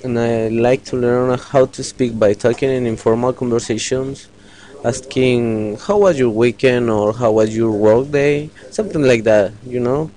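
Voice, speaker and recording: A man from Guatemala with a Guatemalan accent, an adult English learner talking in a relaxed, conversational way. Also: mid-range voice, unhurried.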